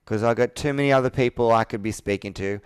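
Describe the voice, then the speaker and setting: A man's voice: medium pitch, fast talker, smooth, no echo. A man in his thirties working as a sales coach, talking persuasively and assertively, with probing rhetorical questions. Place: indoor office with a flip chart, on a video call.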